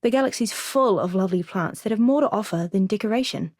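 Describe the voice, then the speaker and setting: A woman's voice: mid-range voice, fast, a little mellow, no room sound, close to an everyday voice. Woman acting as young female crew medic, video game companion, earnest, eager, friendly, close-miked, very dry sound. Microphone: close studio mic, dry.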